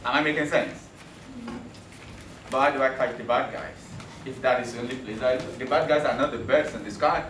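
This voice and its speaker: A man's voice: medium pitch, a little fast, long pauses, a bit bright, recorded from a distance, slight background noise. Joking male teacher, Yoruba native, roomy. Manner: joking, keeps checking students follow. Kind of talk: classroom math lesson. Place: classroom. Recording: roomy classroom recording from afar.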